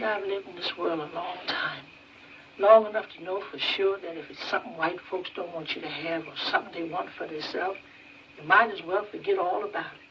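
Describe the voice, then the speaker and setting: A woman's voice: fairly low pitch, natural voice. An actress playing an elderly grandmother with a Southern US accent, plain-spoken, caring and world-weary, in folksy dialect. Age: older. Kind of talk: 1940s film drama acting. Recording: muffled noisy 1940s film soundtrack, distant.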